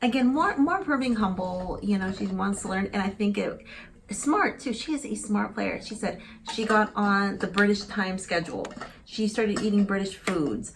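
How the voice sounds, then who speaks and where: A woman co-hosting a casual chat, talking in an animated, emphatic way as she reacts to a clip. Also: medium pitch, normal speed, noisy background. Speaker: co-host.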